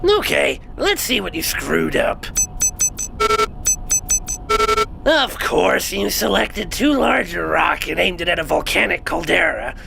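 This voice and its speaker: A performer voicing a calm but vengeful male insectoid alien pilot with a cold, mocking manner. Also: on the low side, measured pace, vocal fry, background noise, heavily acted. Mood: wry. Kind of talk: audio drama acting.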